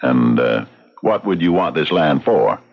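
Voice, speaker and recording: A man's voice: a bass voice, expressive, a little slow, exaggerated voice. An actor playing a big, folksy frontier clerk and lawman in a radio drama, with plain country talk. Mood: affable, wry. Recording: dull lo-fi radio recording.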